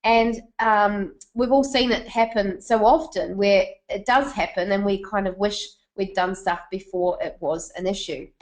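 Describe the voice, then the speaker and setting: A woman's voice: mid-range voice, fairly even intonation, a little slow, hardly any pauses. Woman, New Zealand accent, webinar presenter, chatty, asks the audience questions.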